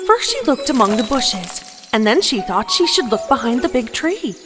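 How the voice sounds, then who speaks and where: High-voiced woman telling a bedtime story. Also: very animated, normal speed. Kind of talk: scripted bedtime story narration. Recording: narration with background music.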